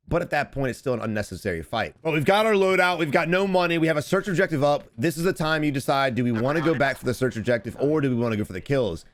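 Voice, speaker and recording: Man, 30s, rapid speech, slightly hoarse, casual game coaching, home desk mic. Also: medium pitch. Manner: rapid, repetitive, advice-giving. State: slightly hoarse from screaming on stream. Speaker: gaming coach and streamer. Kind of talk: casual gameplay commentary and coaching. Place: home streaming setup indoors.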